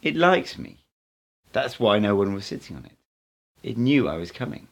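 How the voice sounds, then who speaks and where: A man's voice: fairly low pitch, big pitch swings, moderate pace. A man voicing a playful, assured, cryptic male mystic teacher in an audiobook, speaking in emphatic declarations. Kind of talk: audiobook character dialogue.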